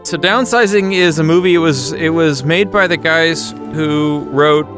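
A man on a podcast panel giving a casual film review in a halting, rambling way. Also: a little high, music in the background.

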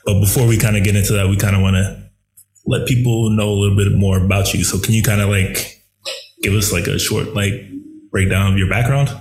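A man's voice: fairly low pitch, fairly even intonation, brisk, darkish tone, echoey room, faint music behind, poor audio. A man interviewing a young musician, asking rambling questions full of "like" and "kinda". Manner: rambling, heavy use of "like" and "kinda".

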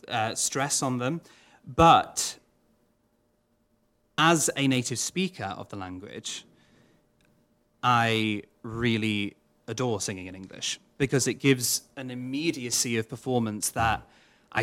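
Measured man, opera singer. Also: mid-range voice, fairly bright tone. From Wales. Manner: thoughtful, measured, with frequent fillers. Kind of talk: audience Q&A answers.

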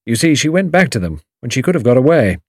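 A man's voice: mid-range voice, big pitch swings, fast, darkish tone, dry recording, clean studio sound, voice pushed up. A man voicing a modest, eager young Englishman in an audiobook, frank and respectful in his manner. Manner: deferential, frank. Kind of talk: audiobook character dialogue.

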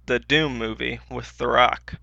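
A man's voice: mid-range voice, steady tone, unhurried, creaky, pushed and bright, lo-fi sound, slight background noise. A man co-hosting a podcast in informal, slangy conversation. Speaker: podcast co-host. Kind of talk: casual chat.

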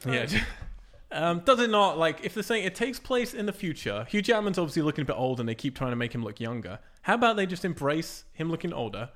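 A man's voice: a little high, sing-song, fairly bright tone. Playful rambling male host. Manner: playful, rambling, quick to joke. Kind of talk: casual movie news chat.

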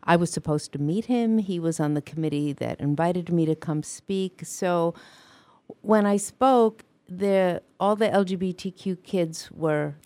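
An older woman guest on a radio talk show recounts a story in an earnest, loud voice. Her tone is mellow and slightly low. The sound is close and dry. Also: a little slow, dry recording. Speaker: guest author. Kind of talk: radio interview answers.

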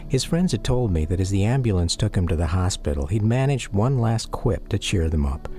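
A deep-voiced American man narrates a radio memoir over clearly audible music. His delivery is measured and reflective, like someone carefully telling a family's story. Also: animated, dark tone.

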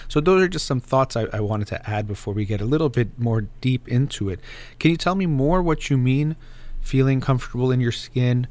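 A man hosting an advice show, talking calmly and reflectively with a caller and explaining his points through everyday examples. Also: mid-range voice, fairly quick. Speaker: host.

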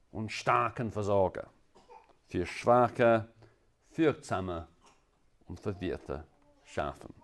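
A male guest preacher with a fairly low voice and an English accent delivers a sermon very slowly. He is a native English speaker, and his non-native delivery is halting and deliberate. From England.

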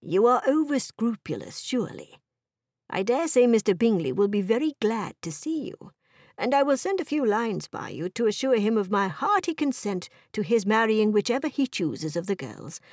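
A woman voicing a dry, sardonic middle-aged husband in a period novel audiobook, teasing in a measured, deadpan way. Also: medium pitch, no room sound. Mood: wry.

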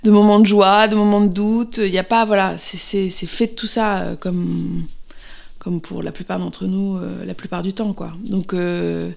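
A woman's voice: mid-range voice, flat delivery, moderate pace, vocal fry, a little mellow. A woman in her thirties, an actress answering interview questions, pregnant, speaking hesitantly with many fillers and restarts.

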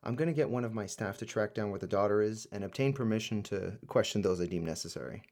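A man's voice: on the low side, lively intonation, quick pace, darkish tone. A man acting in a radio play as a businesslike, wry government official helping an investigation. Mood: explaining.

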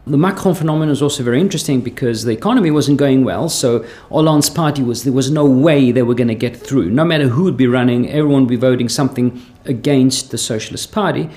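A man with a slight South African accent, a professor explaining his research in a measured, thoughtful way with frequent pauses. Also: mid-range voice, darkish tone. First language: Greek heritage, born in South Africa.

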